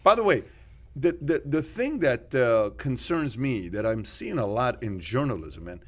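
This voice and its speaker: A man's voice: medium pitch, sing-song, a little slow. A man from Iran who later lived in Germany and America, hosting an opinionated podcast discussion with rhetorical questions and anecdotes. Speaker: podcast host.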